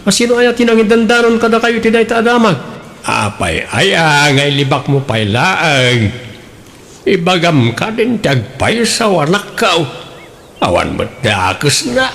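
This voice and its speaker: Radio drama father, played by a man: middle-aged, creaky voice, probing, fatherly coaxing in colloquial speech. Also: high voice, big pitch swings, a little slow, fairly bright tone, full character voice. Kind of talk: radio drama comedy acting.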